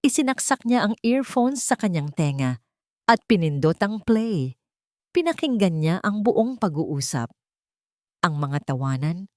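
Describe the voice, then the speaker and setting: A woman's voice: fairly low pitch, darkish tone, dry recording, studio quality. A woman with a Filipino accent reads a dramatic scripted love story as its narrator.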